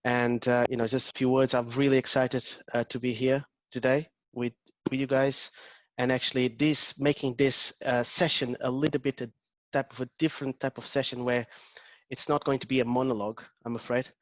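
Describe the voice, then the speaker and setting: A man's voice: medium pitch, level tone, normal speed, no echo, highs cut off. A man with a Portuguese accent, an agile coach leading an interactive online workshop, hesitant with many ums and restarts. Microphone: video call.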